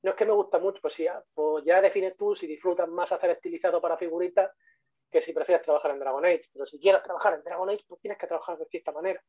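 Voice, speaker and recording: A man's voice: high voice, quick pace, no echo. Chatty Spanish man over a tinny call. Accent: Spanish (Spain). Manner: chatty, repeats himself for emphasis. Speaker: guest speaker, 3D modeler. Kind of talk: casual online talk. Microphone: video call. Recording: tinny phone-like call audio.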